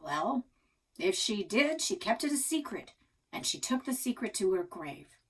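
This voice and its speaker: A woman voicing a stubborn, folksy old grandfather in a storybook, gruff and resigned. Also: medium pitch, sing-song, a little slow, fairly bright tone, slight background noise, low-quality recording. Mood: exasperated.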